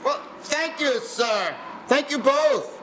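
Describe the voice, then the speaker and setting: Man acting high, effusive, courteous charity collector. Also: lively intonation, takes it slowly, background noise, heavily acted. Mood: grateful. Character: polite, grateful gentleman collecting for charity. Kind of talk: live radio play acting.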